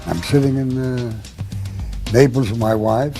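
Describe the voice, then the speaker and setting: Gravelly New York man telling a story. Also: mid-range voice, unhurried, faint music behind. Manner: lively, acts out both sides of a conversation. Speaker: interviewee. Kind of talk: storytelling anecdote.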